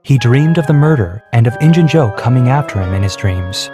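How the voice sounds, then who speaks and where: A man narrating a scripted children's story in a clear, measured way. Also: medium pitch, expressive. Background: music.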